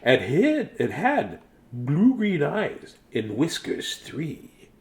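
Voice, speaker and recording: A man's voice: on the high side, huge pitch swings, extremely slow. A middle-aged man reads a children's bedtime story in a silly, sing-song way, breaking off for jokey asides. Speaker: storyteller and host. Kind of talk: playful bedtime story reading with joking asides.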